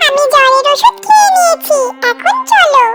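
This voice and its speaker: Tiny squeaky little bird girl. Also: animated, normal speed, over loud music. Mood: caring. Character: small sick bird daughter, sweet and brave. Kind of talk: children's cartoon voice acting.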